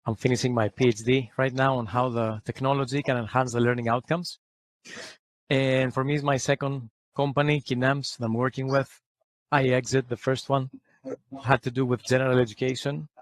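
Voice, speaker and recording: Calm man explaining his product. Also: medium pitch, moderate pace, no room sound. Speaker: company founder guest. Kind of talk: explaining in an interview.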